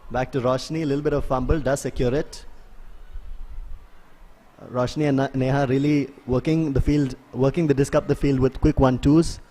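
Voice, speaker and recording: A man giving live play-by-play sports commentary in a measured, analytical way. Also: mid-range voice, steady tone, mellow. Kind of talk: live play-by-play commentary of an ultimate frisbee match.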